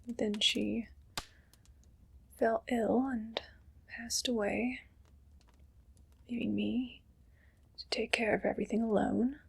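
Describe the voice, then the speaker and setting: A woman playing a haughty, condescending evil queen in a whispered ASMR roleplay, breathy and deliberate. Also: fairly high pitch, steady tone, full character voice. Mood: disdainful, resentful. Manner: slow, deliberate, imperious and condescending.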